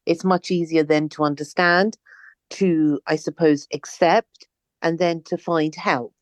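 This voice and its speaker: A woman hosting a podcast, with a voice among the deepest for a woman. Her sound is close and dry, with no room around it. She phrases her questions in halting, searching pieces. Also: fairly even intonation, no room sound. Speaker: podcast host. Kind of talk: podcast interview.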